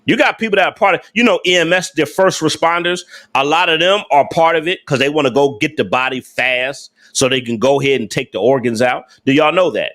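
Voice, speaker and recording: A man's voice: a little high, brisk, few pauses, a bit bright. Male livestream host, casual and slangy, emphatic and repetitive delivery. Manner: emphatic, repetitive, rhetorical questions to chat; slangy informal speech. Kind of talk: casual livestream talk to viewers.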